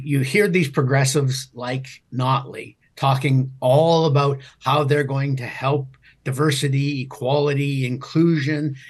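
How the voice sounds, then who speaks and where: A Canadian man giving conversational political commentary as a guest, with a husky voice and a halting, rephrasing way of explaining. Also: mid-range voice.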